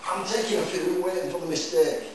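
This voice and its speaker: A man's voice: high voice, fairly even intonation, fairly quick. An older man teaching a karate class in a chatty, loose way, often checking with "yeah?", recorded by a camera far off in a large room. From England. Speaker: karate instructor. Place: large echoey indoor dojo. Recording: echoey hall from far off, noisy.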